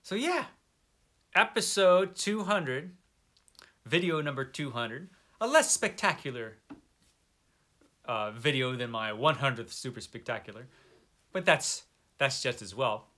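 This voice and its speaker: A man's voice: mid-range voice, expressive, measured pace, long pauses, pushed and bright. A man hosting a book vlog in casual, unscripted chat, informal and self-deprecating, drawing out words for emphasis. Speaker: book vlogger, channel host.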